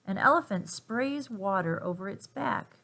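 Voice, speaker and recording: A woman reading a children's picture book aloud in an expressive, emphatic and playful way for young listeners. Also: mid-range voice, unhurried, vocal fry. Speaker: storybook reader.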